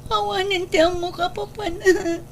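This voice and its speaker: An actress plays a meek young daughter in a radio drama, remorseful and pleading. Her voice is high, with faint noise behind it. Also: steady tone, normal speed, gravelly. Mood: meek, anxious. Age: young adult.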